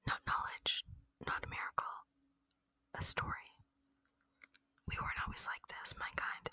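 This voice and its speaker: An ASMR performer voicing a gentle, lonely ancient alien in a breathy whisper, speaking tenderly and reflectively in a roleplay. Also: no room sound, low-quality recording. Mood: solemn, confiding.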